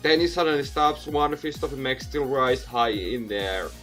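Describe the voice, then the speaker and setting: A man's voice: mid-range voice, fairly even intonation, moderate pace, a bit bright. A young man hosting a gaming video, reading visual novel narration aloud with asides and stumbling over repeated words. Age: young adult. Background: music.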